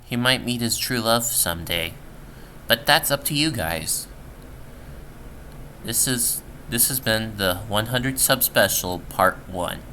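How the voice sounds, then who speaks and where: A man reading a scripted horror story as narrator, somewhat halting with stumbles, on a home recording. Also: mid-range voice, expressive, sharp tone, a little hiss or hum. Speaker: storyteller, channel creator.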